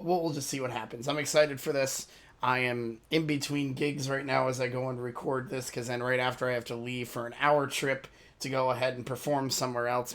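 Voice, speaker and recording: A man hosting a reaction channel, talking casually to his viewers with a hoarse voice, rambling and apologizing as he loses his train of thought. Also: mid-range voice. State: hoarse from a lost voice.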